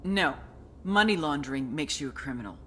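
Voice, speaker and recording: A woman's voice: sits low, lively intonation, brisk, bright, forceful tone, slightly rough, exaggerated voice. An actress playing a stern female intelligence agent in a video game cutscene, terse and commanding. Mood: stern, pressing. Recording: music behind the voice.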